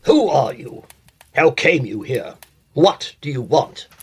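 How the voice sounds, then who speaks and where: A man's voice: medium pitch, sing-song, takes it slowly, a little mellow, slight background noise. A man playing a stern, reclusive scholar in a period audio drama, formal and imperious with a stiff courtesy. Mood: indignant. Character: stern, reclusive scholarly master of a lonely house.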